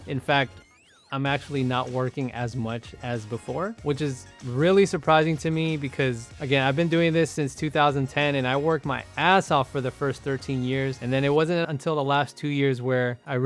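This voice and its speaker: Middle-aged American male creator, mid-range voice, conversational how-to delivery over faint background music. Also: mid-range voice. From San Francisco Bay Area, US. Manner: conversational, explanatory, addresses viewer directly. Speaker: content creator, video host. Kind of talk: casual instructional talk to camera.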